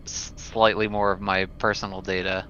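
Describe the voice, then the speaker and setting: A man's voice: low voice, little pitch movement, moderate pace, pushed and bright, highs cut off. A man chatting casually as a player during an online tabletop game. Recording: faint background noise on online call.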